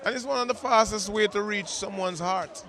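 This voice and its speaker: A man's voice: sits high, normal speed. A man speaking earnestly and emphatically in a casual interview at a launch event. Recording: music and crowd noise behind.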